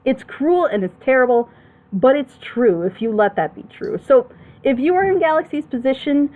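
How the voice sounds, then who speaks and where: A woman's voice: sits high, animated, normal speed. Woman commentator, slightly dull lo-fi sound. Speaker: reaction show commentator. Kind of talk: casual opinionated discussion.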